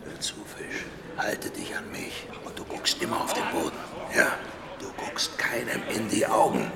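A man's voice: fairly low pitch, wildly swooping pitch, moderate pace, creaky, in a whisper, full character voice. An actor playing a cocky, streetwise male convict who bosses and protects a newcomer in a radio drama. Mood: tough, commanding.

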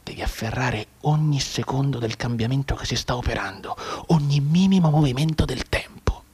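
A bedridden wounded soldier in a radio play. The voice is husky and breathy at a middle pitch. He soliloquises intensely, obsessed with time, and can sink into a whisper. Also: moderate pace, a little mellow. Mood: anxious, determined. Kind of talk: theatrical radio drama monologue.

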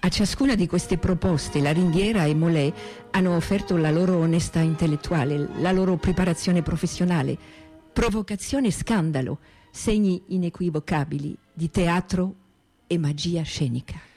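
Mellow, reflective stage woman played by an actress over music. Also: lowish voice, normal speed, natural voice. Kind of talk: scripted radio theatre.

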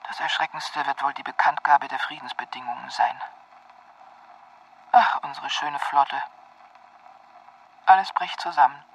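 Distant, husky, lamenting letter writer. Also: male voice, on the high side, a little slow, long pauses, a bit bright, heavily acted. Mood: sorrowful. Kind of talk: literary radio drama letter reading.

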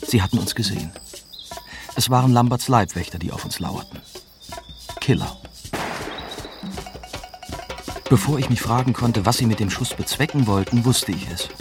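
An actor playing a young German journalist who narrates his adventure in measured first-person radio drama style. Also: lowish voice, lightly acted. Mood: grave. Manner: measured, storytelling. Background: prominent music.

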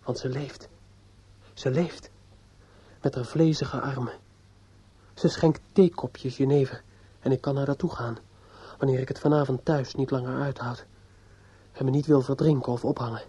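An actor in a radio drama playing a despairing, obsessive judge's son who is outwardly polite and inwardly bitter. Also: male voice, medium pitch, fairly even intonation, warm tone, natural voice. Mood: bleak brooding. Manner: halting and hesitant with others, brooding and repetitive when alone. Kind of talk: radio drama acting with interior monologue. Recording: dull lo-fi old radio play recording.

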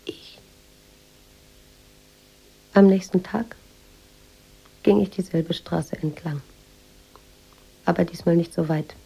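A woman's voice: lowish voice, vocal fry, dark tone, breathy edge, full character voice, poor audio. An actress playing an anxious, introspective woman writer in a German radio drama, speaking intimately and often near a whisper. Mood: anxious, self-reproaching. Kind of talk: radio drama monologue and dialogue.

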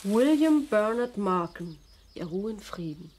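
An actress playing a concerned, gently pleading wife in a radio drama, soothing with a hint of reproach. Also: female voice, medium pitch, lively intonation, unhurried, a little hiss or hum, lightly acted. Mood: surprised, reverent. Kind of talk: German radio drama acting.

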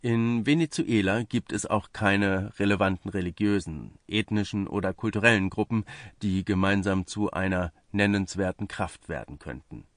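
A man's voice: lowish voice, moderate pace, dry recording, clean studio sound. A man reading a scripted piece of political journalism aloud as narration. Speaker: reader of a translated news article.

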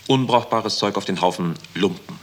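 An actor playing a brisk, cynical worker who gives breezy instructions in a 1960s radio play. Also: male voice, lowish voice, lightly acted. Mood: brisk, instructing. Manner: instructing, breezy, matter-of-fact. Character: brisk, cynical male worker sorting confiscated goods.

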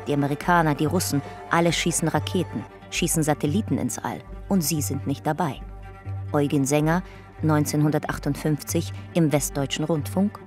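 A woman narrating a radio drama in a measured, clipped documentary style. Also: sits low, darkish tone, close to an everyday voice. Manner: clipped, measured, reporting in fragments. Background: music.

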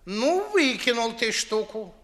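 A man's voice: very high voice, sing-song, slow, fairly bright tone, heavily acted. An actor playing an older, fussy, grumbling and suspicious father, blustering theatrically in 1950s Russian radio verse. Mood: surprised, jovial. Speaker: stage actor.

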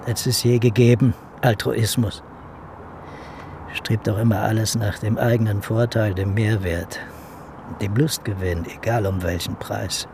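An actor playing a detective's brooding, sceptical colleague in a radio drama, musing and questioning. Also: male voice, on the low side, fairly even intonation, measured pace, noisy background. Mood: cynical, pensive. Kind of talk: naturalistic radio drama acting.

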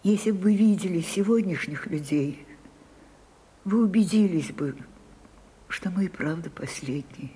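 A woman playing a grand, nostalgic older actress in a radio drama, with measured, theatrical delivery and dramatic pauses. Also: lowish voice, dark tone, poor audio. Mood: wistful, grand. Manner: measured, dramatic pauses, falls to a breathy whisper. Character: Sarah Bernhardt, grand wistful ageing actress, theatrical and nostalgic. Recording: 1980s radio play with faint music.

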